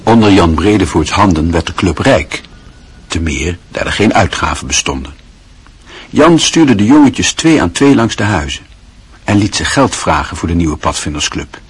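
Man reading narration for a radio play, calm and measured, deep-ish, really raspy. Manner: measured, literary storytelling.

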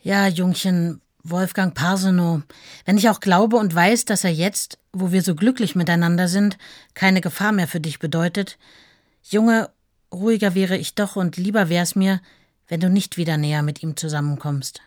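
A woman's voice: on the low side, moderate pace, dry recording, studio quality, close to an everyday voice. An actress playing a devoted, caring wife reading letters to her husband in a radio play, tender and earnest. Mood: gently worried. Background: music.

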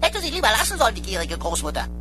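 A woman's voice: medium pitch, big pitch swings, rapid-fire, sharp tone, faint music behind. A woman playing a cheeky computer sidekick in a German sci-fi radio play, mock-servile and theatrical, with wordy, playful formality. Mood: gleeful sarcasm.

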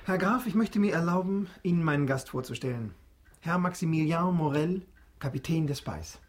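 A man's voice: fairly high pitch, expressive, moderate pace, lightly acted. A man acting in a radio drama as a genteel, sociable young aristocrat, polite and eager. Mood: gracious, attentive. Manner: polite, well-bred, sociable.

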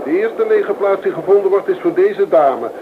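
An actor playing a tart, sarcastic, moralising train passenger in a Dutch radio play. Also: male voice, high-pitched, animated, moderate pace. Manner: sarcastic, preachy. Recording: noisy, tinny old radio recording.

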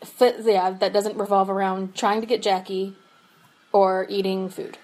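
A woman's voice: medium pitch, flat delivery, unhurried, darkish tone, low-quality recording. A young woman co-hosting a podcast over a remote call, chatting casually and giggling often, with lots of fillers. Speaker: podcast co-host. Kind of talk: casual chat.

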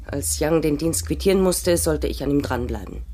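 An actress in a radio drama playing a guarded, duplicitous secret agent in a dramatic, intense manner. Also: female voice, deep voice, normal speed, dark tone, slight background noise, lightly acted. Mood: guarded, explaining.

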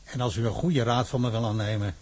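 Man playing gatekeeper in radio drama, husky voice, careful n considerate, protective. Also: mid-range voice, steady tone, fast talker, a little mellow, lightly acted. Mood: concerned. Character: protective, frank gatekeeper refusing visitors. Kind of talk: Dutch audio drama acting.

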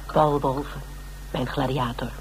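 An actress playing a practical, wry woman detective with a Dutch accent in a naturalistic radio drama, talking in a relaxed, teasing, intimate way. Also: very deep voice, unhurried, slight background noise, low-quality recording. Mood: musing, amused.

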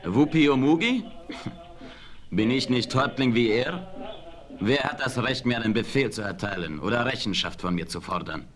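A brave, proud young chief from a western radio play played by a male actor. He has a medium-pitched voice and declaims solemnly, in stately, formal phrases. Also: expressive, normal speed, a crowd in the background, natural voice.

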